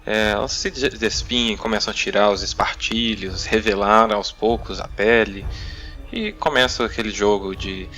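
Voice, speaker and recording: A man's voice: lowish voice, fairly even intonation, normal speed, sharp tone, faint music behind. A young Brazilian man improvising tabletop RPG narration as game master, hesitantly searching for his words.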